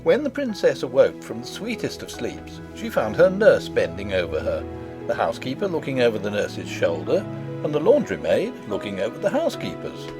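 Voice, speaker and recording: Animated male storybook narrator over music. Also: medium pitch, normal speed. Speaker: audiobook narrator. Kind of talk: scripted storybook narration with character voices.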